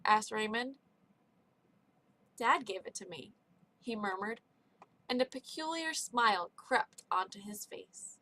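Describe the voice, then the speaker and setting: A woman's voice: medium pitch, expressive, slow, long pauses, sharp tone, poor audio. A woman reading a dramatic story aloud, giving each character an emotive voice. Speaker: audiobook reader.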